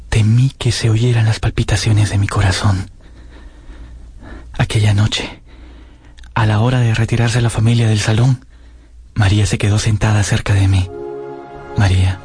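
A lovestruck young man in a radio drama, with a lowish voice set over clear background music. He narrates in an earnest, wistful, literary way, full of melancholy longing. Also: a little mellow, no room sound. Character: lovestruck, melancholy young man recalling his first love.

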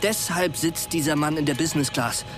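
An actor playing a fervent, unbending patriot in a radio drama, arguing insistently. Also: male voice, a little high, a little fast, natural voice. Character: fervent male patriot aircrew member, conspiratorial, unbending. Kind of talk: naturalistic German radio drama acting. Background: loud music under the dialogue.

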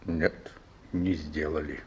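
A man's voice: a bass voice, little pitch movement, slow, darkish tone, highs cut off, heavily acted. An actor playing a weary, proud reformed ex-convict man in a Soviet radio drama, speaking tersely. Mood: guarded, subdued.

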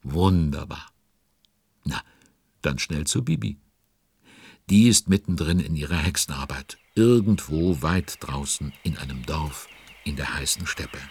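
A man's voice: lively intonation, measured pace, darkish tone, no echo, exaggerated voice. A man narrating a children's audio drama as an avuncular, fretting storyteller, full of exclamations and questions to young listeners. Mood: confiding. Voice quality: very deep, husky.

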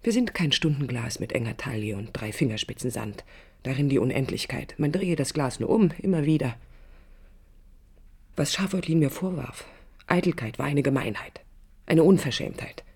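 A woman's voice: rumbling low, animated, moderate pace, a little mellow, breathy edge, heavily acted. An actress playing a proud, brooding woman architect in a radio play, speaking in an introspective, literary and bitter way. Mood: indignant. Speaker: lead character and first-person narrator. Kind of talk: radio play acting, interior monologue and dialogue. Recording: studio radio drama with music behind.

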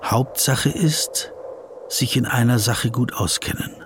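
An actor in a radio play voicing a pensive, word-obsessed lawyer lost in reverie, speaking in halting, intimate, poetic musings. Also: male voice, mid-range voice, noisy background, natural voice. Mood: rapt.

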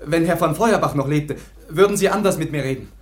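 An actor playing a proud, stubborn young foundling in a German radio play, defiant and aggrieved. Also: male voice, on the high side, a little fast, lightly acted. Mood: desperate defiance.

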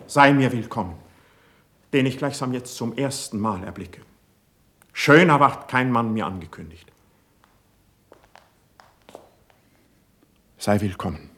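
Ardent young poet, slightly husky. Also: male voice, fairly low pitch. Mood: ardent, welcoming. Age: young adult. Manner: declamatory, fervent, lofty. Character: idealistic, impulsive young male poet, ardent and sensitive. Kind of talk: classical German verse radio drama acting.

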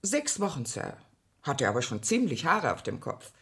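A talkative working-class mother in a radio play. Her husky, slightly low voice swings up and down as she rambles on confidingly, always deferential to the gentleman listening. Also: sing-song, moderate pace, heavily acted. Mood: chatty recollection. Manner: chatty, rambling, deferential, quoting herself and others. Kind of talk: German radio play acting.

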